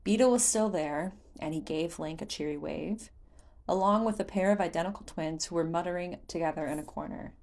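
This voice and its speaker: Woman reading a fantasy story audiobook style, voice a bit on the low side. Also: brisk, darkish tone. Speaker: audiobook narrator. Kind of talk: scripted fan fiction narration, storytelling.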